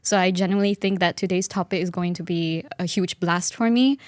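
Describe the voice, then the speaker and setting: A woman's voice: fairly low pitch, a little fast, darkish tone, no echo. Young Malaysian woman chatting, close dry sound. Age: 20s. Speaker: podcast guest. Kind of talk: casual conversation.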